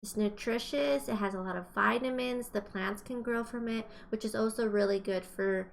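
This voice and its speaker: A woman's voice: fairly high pitch, normal speed, gravelly. A woman teacher reading aloud to young children, explaining as she goes and asking questions in an exaggerated, encouraging way. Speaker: kindergarten teacher.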